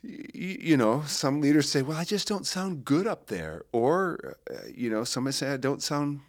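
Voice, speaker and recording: A man's voice: on the high side, moderate pace. A man who leads church worship, talking casually in a podcast chat, stammering a little as he thinks. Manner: animated, sings snippets and stammers when thinking. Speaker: worship leader.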